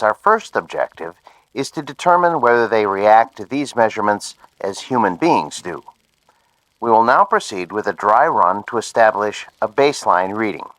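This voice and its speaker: Lively-pitched, precise scientist. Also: male voice, no room sound, poor audio. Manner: formal, precise, instructing. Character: polite, methodical male scientist examiner. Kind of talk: radio drama acting.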